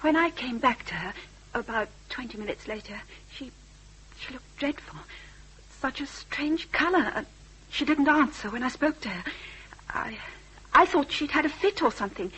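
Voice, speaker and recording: An actress playing a shaken hairdresser giving testimony in a radio drama, hesitant and earnest. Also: female voice, sits high, lively intonation, normal speed, sharp tone, slightly rough, exaggerated voice. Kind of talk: radio detective drama acting. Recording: faintly noisy, humming radio drama recording.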